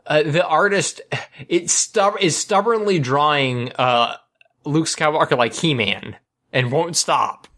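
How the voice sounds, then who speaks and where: A man's voice: a little high, takes it slowly, a bit bright. A man chatting casually and informally as a podcast host. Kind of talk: casual chat.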